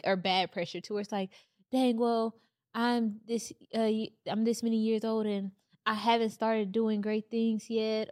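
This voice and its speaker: A woman's voice: medium pitch, no room sound, clean studio sound. Emphatic woman urging listeners on. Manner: emphatic, motivational, animated storytelling. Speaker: podcast co-host. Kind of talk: casual podcast chat.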